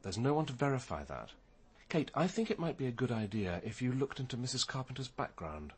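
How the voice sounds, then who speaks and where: An actor playing a composed, methodical senior English police detective in a radio crime drama, with a measured, authoritative way of thinking aloud. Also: male voice, medium pitch, sing-song, breathy edge.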